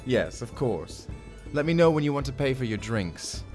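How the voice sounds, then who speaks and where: A man's voice: medium pitch, sing-song, normal speed, a little mellow, soft background music, natural voice. A man playing a self-satisfied tiefling tavern keeper in a fantasy audio drama, breezy, playful and smug.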